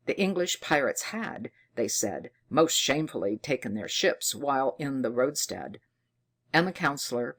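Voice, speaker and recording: A narrator reading a fairy tale in an expressive, theatrical storytelling manner. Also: mid-range voice.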